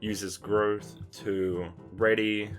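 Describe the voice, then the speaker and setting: A man's voice: fairly low pitch, little pitch movement, very slow. A man narrating a tabletop roleplay game as its game master. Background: music under the voice.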